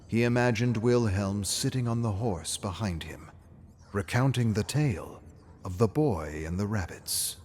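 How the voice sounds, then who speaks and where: A man narrating a scripted fairy tale as a storyteller, with a dramatic, measured delivery. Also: on the low side, darkish tone, slight background noise.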